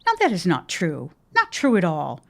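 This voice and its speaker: A woman's voice: on the low side, huge pitch swings, moderate pace, creaky, a bit bright, full character voice. An actress playing a stubborn, sentimental middle-aged widowed mother in a period audio drama, theatrical and insistent with old-fashioned phrasing. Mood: pleading.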